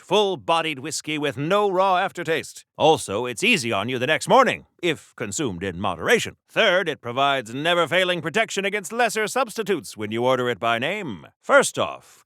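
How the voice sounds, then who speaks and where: A golden-age comic hero, a chatty and courteous gentleman with old-time radio flair. His voice is bright and forceful, with very animated intonation, recorded close and dry. He is mannered and blithely oblivious, a video game character through and through. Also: on the high side, no room sound. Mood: suave, appreciative. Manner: mannered, wordy, theatrical, polite.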